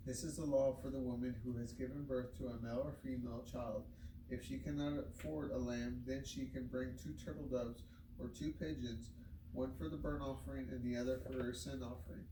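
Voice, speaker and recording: A man reading scripture aloud in a measured, solemn way, with occasional stumbles. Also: medium pitch, fairly even intonation. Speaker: scripture reader. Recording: roomy, distant microphone, noisy background.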